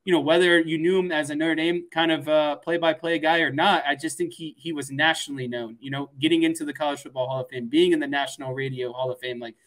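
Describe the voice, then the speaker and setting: Brief: male sports co-host, twenties, American, slightly high voice, casual and a bit hesitant. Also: fairly even intonation, fast talker, few pauses, fairly bright tone, rough recording. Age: 20s.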